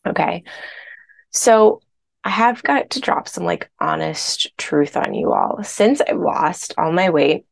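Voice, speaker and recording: Woman, podcast host, hoarse, gravelly, low voice, chatty and candid. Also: huge pitch swings, takes it slowly. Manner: chatty, candid, uses filler words. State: hoarse, recovering from strep throat. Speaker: podcast host and weight loss coach. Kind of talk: casual podcast monologue.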